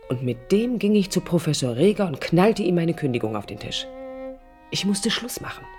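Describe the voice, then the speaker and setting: An actress playing a disillusioned young divorced woman, recounting her past in a hushed, reflective, confiding radio play monologue. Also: fairly low pitch, big pitch swings, moderate pace. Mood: resolute. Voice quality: husky, breathy. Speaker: narrator and protagonist. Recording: music under studio radio drama. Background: music.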